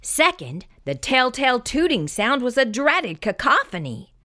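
A woman reading a children's picture book aloud in expressive, scripted narration. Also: sits high, measured pace.

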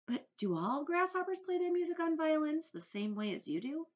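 A woman voicing a small, curious boy in a storybook, earnest, polite and excitable. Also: high-pitched, moderate pace, voice pushed up. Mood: enchanted. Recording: dull lo-fi recording.

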